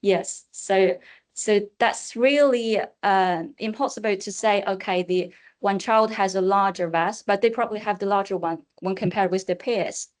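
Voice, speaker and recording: A woman's voice: mid-range voice, normal speed. Hesitant woman speaker answering questions. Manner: hesitant, repeats herself. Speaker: conference speaker.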